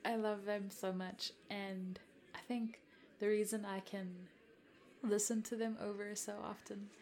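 A woman in her twenties from South Africa, whose first language is Afrikaans, chats softly to a follower, giggling and hesitating, with whispered asides. Her voice has a gravelly fry, and she takes her time. A faint hiss sits under the recording. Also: mid-range voice, little pitch movement, unhurried. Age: 20s. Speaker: content creator addressing a follower. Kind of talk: roleplay chat to the listener.

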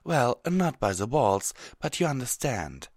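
A man's voice: mid-range voice, big pitch swings, a little slow, a little mellow, slightly rough, no echo, studio quality, pitched above the performer's own voice. A man voicing a refined, composed female cartoon unicorn in a polite, genteel manner. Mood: polite, coaxing.

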